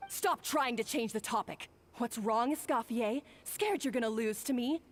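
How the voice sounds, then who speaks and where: A woman's voice: on the high side, moderate pace, lightly acted. An actress playing a confident young female rival chef in a game, who taunts and challenges her opponent. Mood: taunting. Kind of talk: game voice acting.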